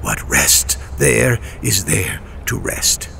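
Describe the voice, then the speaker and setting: An actor playing an irritable, haughty ancient mystic in an audio drama, gravelly and halting, with theatrical archaic phrasing. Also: male voice, fairly low pitch, sing-song, bright, forceful tone, a little hiss or hum, heavily acted. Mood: indignant. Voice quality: gravelly, breathy at times.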